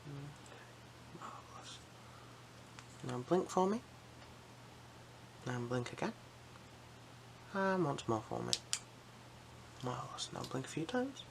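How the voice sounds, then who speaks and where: An ASMR performer role-playing an eye examiner, giving gentle, unhurried, reassuring instructions in a breathy whisper mixed with soft speech. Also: mid-range voice, sing-song, noisy background.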